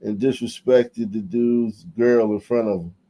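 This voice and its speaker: Blunt man in a mellow, low voice. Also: steady tone, measured pace, poor audio. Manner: blunt, opinionated, stammers on restarts. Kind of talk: casual commentary chat.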